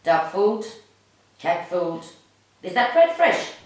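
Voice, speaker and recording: A woman's voice: on the low side, sing-song, slow, very echoey, recorded from a distance. A woman playing a chirpy, bustling village woman in a radio drama, with a County Cork accent. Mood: bright, bustling. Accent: Irish, County Cork.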